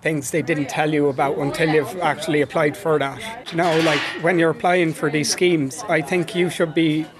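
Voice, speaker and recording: Young man, slightly high pitch, rambling, frustrated student. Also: flat delivery, normal speed, hardly any pauses, crowd noise.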